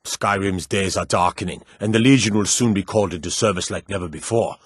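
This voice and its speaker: A gruff proud nord guard for a fantasy game, lowish voice, slightly husky, firm and declamatory. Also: male voice, brisk, no echo, clean studio sound. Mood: dutiful, weary. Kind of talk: dramatic video game dialogue.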